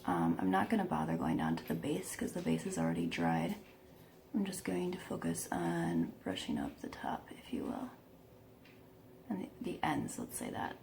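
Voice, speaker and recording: Woman beauty vlogger with a croaky, gravelly voice, walking through her makeup with self-mocking asides. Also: fairly low pitch, darkish tone. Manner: chatty, self-deprecating, laughs at herself. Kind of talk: casual makeup tutorial.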